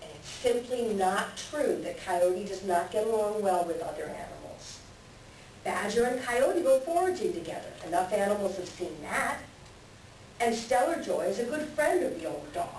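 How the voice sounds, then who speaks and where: A woman voicing a wise, patient male eagle elder in a readers theater, measured in the way a storyteller reasons. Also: medium pitch, lively intonation, a little mellow, close to an everyday voice. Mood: patient, reasoning. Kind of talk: readers theater stage reading. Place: library room, recorded from a distance. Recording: very echoey library room, distant, hissy.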